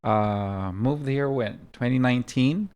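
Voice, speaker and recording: A man, speaking in a relaxed, halting way as a podcast guest. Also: medium pitch, slight background noise. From Philippines.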